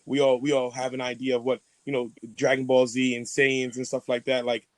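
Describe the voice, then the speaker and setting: A man's voice: mid-range voice, little pitch movement, fairly quick, no room sound. A young man co-hosting a podcast, talking in a playful, rambling way with many restarts. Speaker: podcast co-host. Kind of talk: casual chat.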